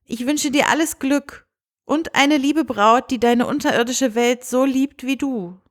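A woman's voice: high-pitched, unhurried, voice pushed up. A woman voicing a spirited, kind young princess in a storybook reading, earnest and emphatic. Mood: gentle regret.

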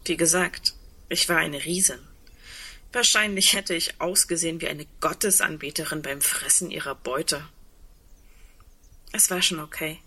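Husky, wry woman in radio drama. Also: sits low, unhurried, pauses often, bright, forceful tone, heavily acted. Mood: wry, reminiscing. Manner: dryly humorous, reflective. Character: tall, wry, resigned woman insolvency administrator facing doomsday. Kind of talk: radio drama dialogue acting.

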